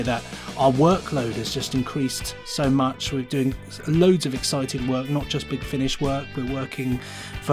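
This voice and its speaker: Man chatting casually, music behind. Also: mid-range voice. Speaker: podcast guest, sound designer. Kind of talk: casual conversational interview.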